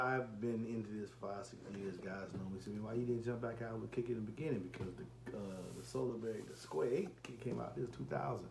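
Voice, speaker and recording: A man's voice: on the low side, a little mellow. A man in his forties talking casually in a rambling, self-correcting way, as a reviewer filming an unboxing video. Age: 40s. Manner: rambling, often repeats and corrects himself. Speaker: car audio reviewer and enclosure builder. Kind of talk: casual unboxing vlog talk. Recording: echoey room with faint background noise.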